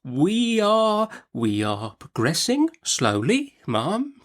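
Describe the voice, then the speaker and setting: Storybook gentleman doctor, played by a man: nervous, meek, soft-spoken, halting and slow, voice lifted high. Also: wildly swooping pitch, heavily acted. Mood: nervous, hesitant. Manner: soft, hesitant, stammering, slow and deferential.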